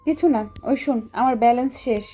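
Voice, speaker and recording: A woman's voice: a little high, brisk, dark tone, lightly acted. A woman playing a bossy, hot-tempered, romantic college girl in an audio love story. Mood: scolding, demanding. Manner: demanding, scolding, pleading. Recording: music bed under the voice.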